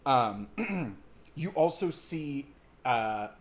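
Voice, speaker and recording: A man narrating a tabletop role-playing game as game master, in halting, conversational descriptions. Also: mid-range voice, big pitch swings.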